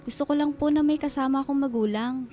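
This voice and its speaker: Lady doing a timid schoolgirl in a radio drama, high mellow voice, polite n pleading, bit of music behind. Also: fast talker, natural voice. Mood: gently pleading. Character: hopeful, timid schoolgirl daughter pleading with busy mother.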